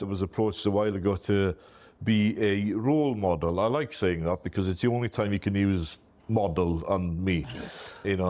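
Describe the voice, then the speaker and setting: A middle-aged Welshman with a strong Welsh accent talks in a low voice about his own business. He is chatty and self-deprecating, loosely built sentences full of "you know", Welsh being his first language. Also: a little mellow. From Wales. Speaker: MSP business owner, guest. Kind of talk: casual interview talk.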